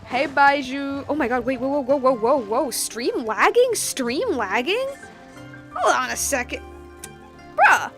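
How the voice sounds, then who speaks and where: A woman gives casual live commentary on a game stream. Her voice is on the high side, chatty and slangy with playful asides. Soft game music plays in the background. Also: lively intonation, a little slow.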